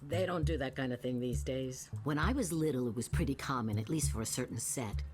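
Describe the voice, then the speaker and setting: A woman's voice: a bass voice, a little fast, a little mellow, slight background noise. A woman voice actor playing an eccentric, streetwise older woman who rambles in a folksy, suspicious way in a video game. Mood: musing, chatty. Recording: game music behind the voice.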